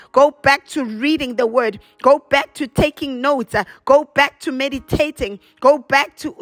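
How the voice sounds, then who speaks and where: A woman's voice: high-pitched, fairly bright tone. A woman preacher delivering a charismatic prayer sermon, exhorting with repeated emphatic phrases and bursts of chanted glossolalia.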